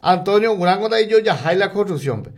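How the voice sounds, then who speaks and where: Paraguayan male radio announcer, gravelly, high-set voice, sing-song ad reads. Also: expressive. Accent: Paraguayan Spanish, mixing in Guarani. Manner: rapid, sing-song pitch and loudness swings, listing items. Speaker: radio announcer reading local ads. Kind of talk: live radio ad reading.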